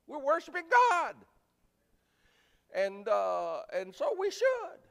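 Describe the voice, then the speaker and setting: A man preaching a sermon in a folksy, plainspoken, emphatic way, with a loud voice. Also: extremely high pitch, wildly swooping pitch, slow, gravelly. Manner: folksy, plainspoken, emphatic, swinging from quiet asides to loud exhortation. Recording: reverberant hall.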